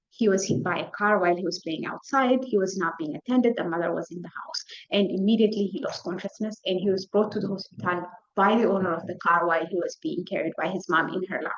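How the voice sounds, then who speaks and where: Low-voiced woman lecturing. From Ethiopia. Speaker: physician presenting a case lecture. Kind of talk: slide presentation, medical lecture. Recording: dull, lo-fi lecture audio.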